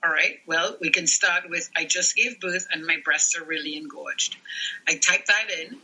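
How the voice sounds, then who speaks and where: A middle-aged man with a high, husky voice. His tone is very bright. He explains things earnestly as a specialist guest. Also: moderate pace, rough recording. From Trinidad and Tobago. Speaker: newborn care and lactation specialist. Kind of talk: podcast interview guest.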